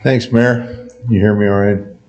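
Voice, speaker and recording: A quiet-voiced man presenting to a town board as county emergency manager, relaxed and joking, with plenty of fillers and restarts. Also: lowish voice, unhurried, highs cut off. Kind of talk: informal presentation at a public meeting. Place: town board meeting room.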